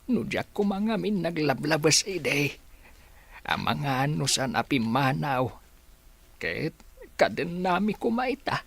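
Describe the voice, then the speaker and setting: A man's voice: on the high side, gravelly, fairly bright tone, breathy edge, full character voice. An actor playing a regretful old father in a radio drama, sighing and sorrowful as he longs for his lost family.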